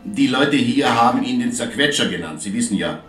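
A man's voice: mid-range voice, lively intonation, fairly bright tone, close to an everyday voice. Courteous, talkative police inspector from Vienna played by a man, off-mic in echo, radio crime drama. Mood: grave, explanatory. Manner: polite, formal, measured. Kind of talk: German radio play acting. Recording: roomy, distant video link sound, music.

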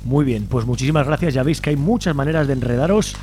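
A man's voice: medium pitch, fast talker, mellow, a little hiss or hum. A man with an accent from Spain, hosting a radio show in an informal, colloquial way. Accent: Spanish from Spain. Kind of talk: casual radio interview and presenting.

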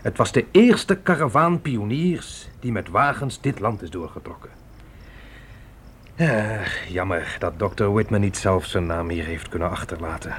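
An earnest frontier father in a radio play, instructing his boys. His low voice moves with lively swings, over faint noise and chirping crickets. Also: a little slow. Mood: reflective. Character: earnest pioneer father teaching his sons on the trek. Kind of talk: radio drama acting.

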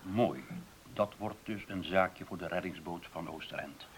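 An actor playing a fussy, slightly grumbling middle-aged mayor, hesitant and officious, in a radio drama. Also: male voice, deep voice, a little slow. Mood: officious, wry. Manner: hesitant, officious, animated intonation. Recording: faint hiss of old radio drama.

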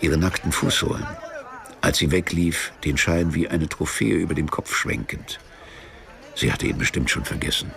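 A male actor narrating a literary radio play in a measured, detached way, as a storyteller with dry irony. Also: low-pitched, steady tone, vocal fry, fairly bright tone.

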